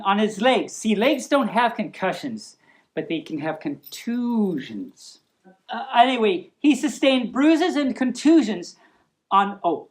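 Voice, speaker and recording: A woman hosting a storytime, reading aloud in a playful, mock-serious manner with chatty asides and stumbles over long words. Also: on the high side. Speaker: storytime show host.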